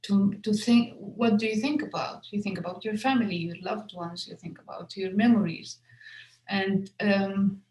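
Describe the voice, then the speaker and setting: A woman's voice: mid-range voice, fairly even intonation, normal speed, a little mellow, echoey room, poor audio. A young woman, a film director whose first language is Arabic, answering questions at a festival Q&A with many fillers and restarts. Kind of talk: festival Q&A conversation.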